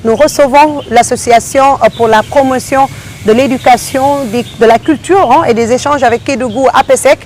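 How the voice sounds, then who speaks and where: A woman's voice: high voice, a little fast, darkish tone, slight background noise. A woman hosting a panel interview, talking with frequent hesitations and fillers. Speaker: radio show host.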